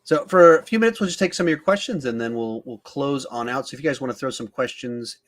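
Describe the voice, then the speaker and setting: A man's voice: mid-range voice, fast talker. A man hosting a podcast, chatting casually about films in an emphatic way, often thinking aloud. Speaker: podcast host. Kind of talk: casual film discussion.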